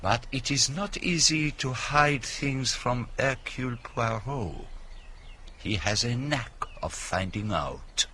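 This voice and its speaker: Shrewd detective, French accent, slow and inquisitive, lively intonation, radio play, background noise. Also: male voice, mid-range voice, exaggerated voice. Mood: teasing, knowing. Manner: deliberate, inquisitive, halting over English words. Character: shrewd, courteous foreign detective with quiet vanity. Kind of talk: radio drama acting.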